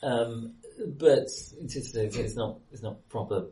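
A man's voice: mid-range voice, takes it slowly, dark tone. Hesitant man in an echoey room. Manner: hesitant, self-correcting. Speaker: film podcast host. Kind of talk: casual film discussion. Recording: roomy, echoey sound.

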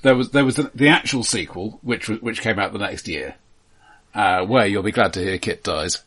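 A man explaining films in casual discussion, halting and often repeating words as he builds a point. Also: fairly low pitch, a bit bright, husky. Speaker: film podcast host.

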